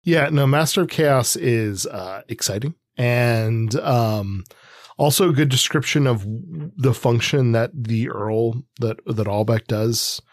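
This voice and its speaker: A man co-hosting a podcast, talking through books casually in a halting, thinking-aloud way. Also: medium pitch, no echo. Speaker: podcast co-host. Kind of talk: casual book discussion.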